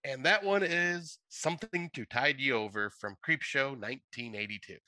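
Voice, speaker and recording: Male podcast host, very sharp bright tone, close dry sound, conversational. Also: medium pitch, normal speed, no echo. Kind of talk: casual podcast chat.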